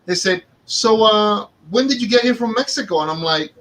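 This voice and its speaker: A man's voice: sits high, normal speed. A man from Cuba with a Cuban accent, co-hosting a casual chat and acting out the voices in his stories. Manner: animated storyteller acting out dialogue.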